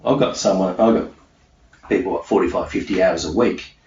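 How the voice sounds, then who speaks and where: A middle-aged man with a low voice talks in an emphatic, conversational way, repeating points for weight. He sounds set back from the microphone in a very echoey room, like a guest answering interview questions. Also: brisk, crowd noise. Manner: emphatic, repetitive, punctuates points with "okay". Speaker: interviewee, self-employed mindset coach. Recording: roomy, distant, muffled, faint background noise.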